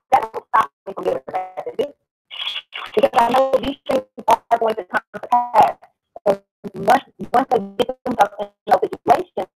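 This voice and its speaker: A woman's voice: on the high side, gravelly, poor audio. A middle-aged woman with an American accent, speaking casually and earnestly, a little preachy, searching for her words as she goes. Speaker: discussion participant and mother.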